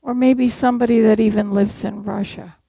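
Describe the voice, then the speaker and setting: Older woman, sermon at a church pulpit, gravelly voice, dry close sound. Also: a little high, steady tone, fairly quick, dark tone, no echo. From Massachusetts. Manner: conversational storytelling with rhetorical questions. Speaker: preacher.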